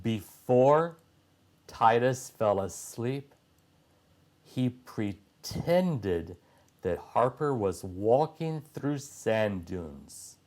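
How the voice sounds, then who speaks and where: A man reading a children's story aloud as a narrator, phrasing deliberately with frequent pauses. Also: mid-range voice, expressive, darkish tone.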